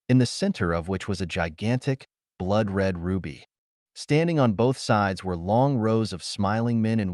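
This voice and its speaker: A man with a fairly low voice reads a story as an audiobook narrator. His scripted delivery is dramatic, with wide-ranging intonation, and the recording is dry and close. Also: darkish tone, no room sound.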